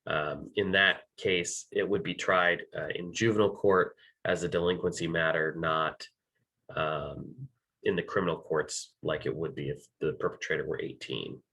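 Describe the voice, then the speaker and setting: Man, American accent, very low pitch, slightly hoarse, halting, filler-heavy, legal presenter. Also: fairly even intonation, sharp tone. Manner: halting, many ums, self-correcting. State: losing his voice. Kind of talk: slide-based legal training.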